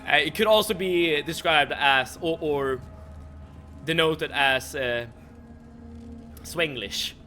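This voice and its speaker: Young guy streaming a game, high sharp voice, stumbles and repeats words, soft game music behind. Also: takes it slowly, pauses often. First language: Swedish. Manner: chatty, stammers and repeats words, laughs often. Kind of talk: casual chat while playing a game.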